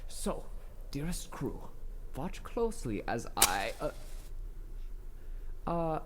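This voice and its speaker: Showy magician with swooping voice. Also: female voice, deep voice, big pitch swings, slow, heavy background noise, full character voice. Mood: showy, courteous. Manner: theatrical, florid, grandly polite. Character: showy, mock-courteous stage magician among a spaceship crew. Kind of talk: science fiction audio drama acting.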